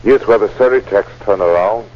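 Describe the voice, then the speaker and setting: A man's voice: fairly low pitch, fairly quick, lightly acted. An actor playing a watchful, sceptical rural man helping a constable track, in an old-time radio drama. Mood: doubtful, uneasy. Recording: dull, noisy old radio recording.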